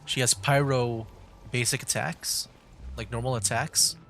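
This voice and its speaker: A male game streamer with a medium-pitched voice talks casually through his play. He thinks aloud in an easygoing manner, with clearly audible game music underneath. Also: steady tone, unhurried, a bit bright. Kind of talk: casual livestream gameplay commentary.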